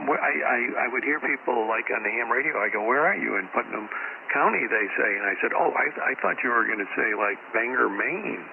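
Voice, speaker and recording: A man's voice: mid-range voice, vocal fry. A man from Connecticut, rambling casually on the ham radio and telling stories with many ums. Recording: tinny shortwave radio with static.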